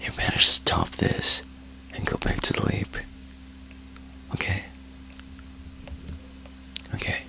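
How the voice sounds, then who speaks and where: A man whispering softly in a teasing way, with breathy laughs, in an intimate ASMR roleplay. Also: takes it slowly, long pauses.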